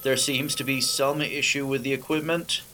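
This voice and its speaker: A man's voice: mid-range voice, fairly even intonation, normal speed, bright, forceful tone, slight background noise, lightly acted. A man playing a formal, drily bemused announcer in a 1930s-style radio spoof.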